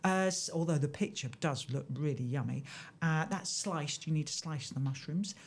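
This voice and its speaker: A woman's voice: sits low, moderate pace. A woman radio presenter, chatting playfully in the studio while reading out a recipe. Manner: chatty and playful, laughs often.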